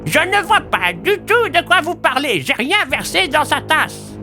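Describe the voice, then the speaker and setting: A woman's voice: high voice, sing-song, unhurried, bright, forceful tone, soft background music, exaggerated voice. A woman playing a sarcastic, defiant old bar keeper in an audio drama. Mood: mocking, defiant. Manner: sarcastic, taunting.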